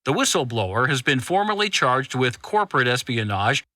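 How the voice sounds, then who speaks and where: Forceful, zealous male news journalist. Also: medium pitch, sing-song, moderate pace, no echo, studio quality. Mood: urgent, grave. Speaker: journalist, channel host. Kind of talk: scripted news bulletin in fiction podcast.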